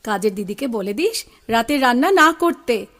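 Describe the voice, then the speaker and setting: An actress playing Moni, a brisk young woman who teasingly bosses around a younger cousin. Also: fairly high pitch, animated, a bit bright. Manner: teasing, bossy. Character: brisk young woman Moni, caring older sister type.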